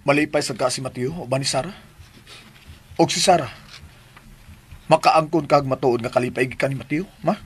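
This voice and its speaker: A man playing an earnest, cautious elder brother in a Filipino radio drama, with a husky voice and a Filipino accent. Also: mid-range voice, normal speed, long pauses, a little hiss or hum, close to an everyday voice.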